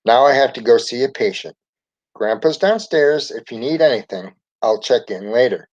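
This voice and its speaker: A man voicing a brisk, caring doctor mother in a storybook, instructing matter-of-factly. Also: medium pitch, expressive, a little mellow, close to an everyday voice. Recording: dull lo-fi recording.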